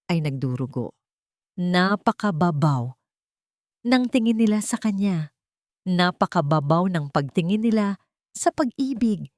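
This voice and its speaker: A woman reads a dramatic story in a slightly low voice. Her delivery is deliberate and emotive, like scripted storytelling. The sound is close and dry. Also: darkish tone, no room sound. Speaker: story narrator.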